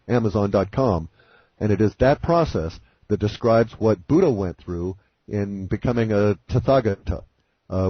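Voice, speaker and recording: A man giving a long reflective monologue as a guest on a conference call, speaking in a deliberate, halting way with formal, wordy phrasing. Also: fairly low pitch. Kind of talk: long reflective spoken monologue on spiritual ideas. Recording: muffled, roomy conference call audio.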